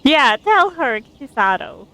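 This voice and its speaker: A woman's voice: high-pitched, big pitch swings, unhurried, sharp tone. A woman game streamer chatting casually and reacting aloud to a story as she plays, close to her microphone. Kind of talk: casual live gameplay commentary and reading along.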